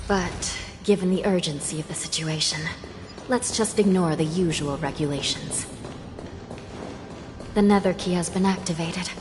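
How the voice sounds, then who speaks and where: A woman's voice: lowish voice, normal speed, lightly acted. A woman playing a stern, resolute prison judge and commander in a video game, speaking formally and solemnly. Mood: composed, grave. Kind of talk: English video game voice acting. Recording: long reverb, loud music behind.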